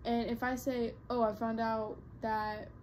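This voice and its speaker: A woman's voice: fairly high pitch, level tone, unhurried, a little mellow, slight background noise. A young woman vlogger chatting casually to her viewers, rambling with lots of fillers and restarts. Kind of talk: casual talk to camera.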